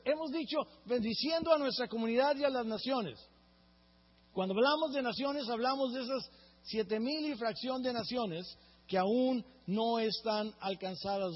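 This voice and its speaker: A man preaching a sermon, his voice sitting high and a little husky. He keeps turning to the congregation for agreement as he talks. The sound is dull and lo-fi, with long reverb from a big hall. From Mexico. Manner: earnest preaching, often asks the congregation "right?". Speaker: pastor.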